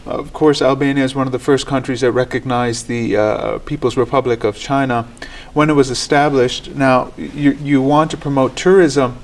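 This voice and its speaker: Guy hosting a studio interview, average pitch, formal and measured. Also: steady tone. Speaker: talk show host.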